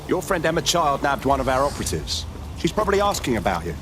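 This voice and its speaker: A man's voice: mid-range voice, very animated, quick pace, background noise, lightly acted. An actor playing a laconic male resistance operative, who speaks in a terse, wry way.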